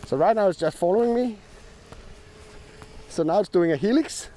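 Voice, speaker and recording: A man explaining new drone features step by step as he demos them, his voice a little rough because he is sick. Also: sits high, expressive, moderate pace, warm tone, a little hiss or hum. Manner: plain step-by-step explaining with filler ums. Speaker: drone tech reviewer. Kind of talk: hands-on product demo. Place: outdoors in the cold.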